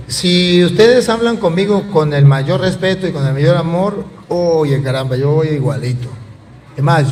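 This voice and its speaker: A man's voice: on the high side, a little mellow. A man with a frank, plain-spoken way, informally moderating a religious debate before an audience. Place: large hall with an audience. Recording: noisy echoing hall.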